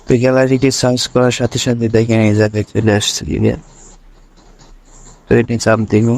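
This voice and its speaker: Man, flat-pitched tutorial voice. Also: brisk, gravelly. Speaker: tutorial presenter. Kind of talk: step-by-step screen tutorial.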